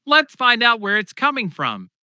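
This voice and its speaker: A man's voice: extremely high pitch, huge pitch swings, moderate pace, bright, forceful tone, clear, resonant tone, no echo, studio quality, voice pushed up, heavily acted. A man voicing a nervous, urgent teenage girl in a spooky audiobook story. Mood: hushed, determined. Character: nervous teenage girl exploring a haunted cemetery.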